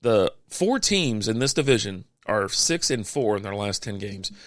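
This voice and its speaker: A man hosting a podcast, reading out sports standings in a casual, chatty way with offhand quips, his voice going hoarse. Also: lowish voice, animated, moderate pace, no room sound. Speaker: podcast host.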